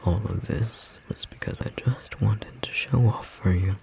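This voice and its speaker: A man playing a gentle, romantic storm sorcerer in intimate ASMR roleplay, speaking tenderly in a soft, breathy, slightly rough voice. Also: on the low side. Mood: tender, sincere. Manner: soft, slow, tender murmuring with pauses.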